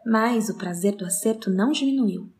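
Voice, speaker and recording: An actress playing a solitary, obsessive woman with a wry enthusiasm, in an intimate audio drama monologue with deliberate, expressive phrasing. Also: fairly high pitch, lightly acted. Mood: triumphant, eager. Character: obsessive sound-counting woman, solitary, precise, wryly enthusiastic.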